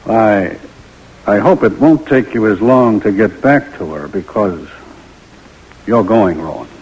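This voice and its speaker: An actor playing a stern, commanding army major, terse and dryly sardonic, in an old-time radio drama. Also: male voice, on the low side, measured pace, a little mellow, slightly rough. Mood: grave, commanding. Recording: muffled vintage radio recording.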